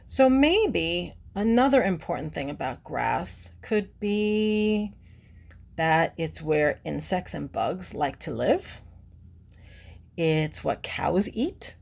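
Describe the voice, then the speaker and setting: Low, husky woman reading to children. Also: sing-song, slow pace, darkish tone. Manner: asks the listener questions, explains simply. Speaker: children's read-aloud presenter. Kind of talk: picture-book read-aloud with teacherly asides to children.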